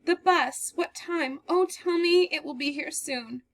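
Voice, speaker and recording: A woman's voice: high-pitched, normal speed, bright, forceful tone, no room sound, pitched above the performer's own voice. A woman voicing a terrified young woman who has fled a killer, breathless and pleading as she reads a play aloud.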